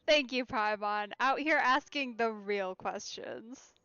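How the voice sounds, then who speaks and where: A woman's voice: fairly high pitch, slow, sharp tone, clean tone. A woman streamer giving casual let's play commentary with wry, conversational asides, on a slightly dull mic. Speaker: game streamer. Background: game music.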